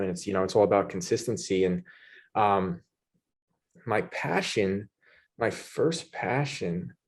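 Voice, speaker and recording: A man in his thirties with a New York accent, talking casually as a podcast guest, with many fillers and restarts. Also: low-pitched. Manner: relaxed, many "you know" fillers and restarts. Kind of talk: casual interview talk.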